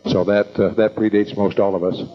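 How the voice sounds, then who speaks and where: An older American man hosting an evening meeting, introducing speakers informally in a halting, rambling, folksy way. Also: on the low side, flat delivery. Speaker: event host introducing speakers. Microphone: event microphone. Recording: dull lo-fi event recording.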